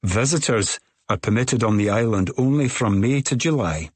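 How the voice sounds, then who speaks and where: A man's voice: fairly low pitch, expressive, moderate pace, husky, no room sound, voice pushed up. A man voicing a tall, weathered, middle-aged Scottish ferry woman who speaks in a curt, matter-of-fact way. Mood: brusque.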